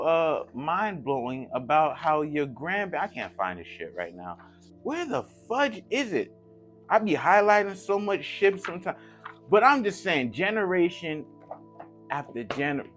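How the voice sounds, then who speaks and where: A man's voice: mid-range voice, fairly bright tone. A man giving casual motivational talk as a spiritual coach, slangy and emphatic, repeating phrases for effect. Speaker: spiritual coach and channel host.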